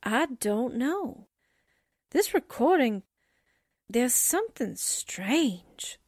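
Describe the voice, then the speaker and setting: A woman's voice: a little high, big pitch swings, drawn-out words, a little mellow, no echo, studio quality, full character voice. A woman playing a plucky, suspicious young newspaper secretary in a theatrical 1940s radio drama style. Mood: anxious secrecy.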